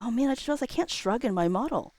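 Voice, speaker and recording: A woman's voice: fairly high pitch, lively intonation, quick pace, a little mellow, no echo. A woman, perhaps in her thirties, chatting casually on a livestream in a rambling, self-deprecating way with informal slang.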